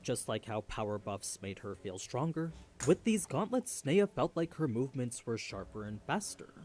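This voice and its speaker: A man's voice: mid-range voice, big pitch swings, moderate pace, darkish tone. A man reading scripted explainer narration for a video.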